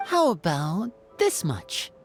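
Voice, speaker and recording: A woman's voice: fairly low pitch, huge pitch swings, slow, soft background music, exaggerated voice. An actress playing a composed, courteous, serious female hunter with a formal, restrained manner in a video game. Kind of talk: scripted video game acting.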